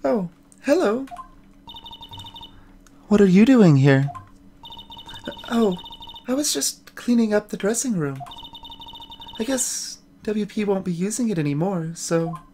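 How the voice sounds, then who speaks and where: A woman voicing a meek, apologetic young woman in a video game, soft-spoken and hesitant. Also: medium pitch, animated, takes it slowly, pauses often, slight background noise. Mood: sheepish. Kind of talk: voiced visual novel game dialogue.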